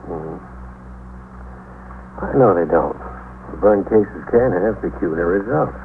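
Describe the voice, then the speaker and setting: A man's voice: sits low, expressive, slow, gravelly, heavily acted. A man acting as a blunt, weary American police detective in a 1950s radio drama, subdued and plainspoken. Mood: grave, blunt. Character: blunt, weary, matter-of-fact missing persons police detective. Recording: muffled, noisy old radio broadcast.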